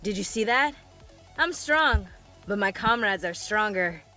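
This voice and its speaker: A woman's voice: medium pitch, expressive, unhurried, sharp tone, soft background music. An actress playing a proud, cheerful anime fighter girl in game battle barks.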